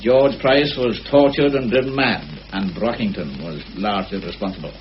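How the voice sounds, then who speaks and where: A man playing a genial, shrewd local police inspector in a radio drama, deliberate and chatty. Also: lowish voice, natural voice. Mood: probing, insistent. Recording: muffled, noisy old radio drama recording.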